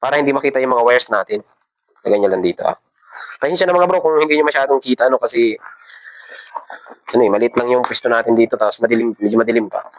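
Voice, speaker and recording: A Filipino man with a mid-pitched voice hosts a casual how-to. He talks informally, walking the listener through each step while his hands are busy with the work. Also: fast, long pauses. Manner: informal, instructing step by step while handling parts. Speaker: tutorial host. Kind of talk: casual hands-on tutorial talk.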